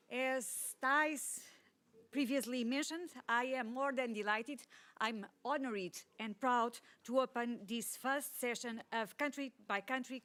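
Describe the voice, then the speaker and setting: A woman's voice: high voice, fairly bright tone. A woman moderating a conference session, introducing speakers in a formal way.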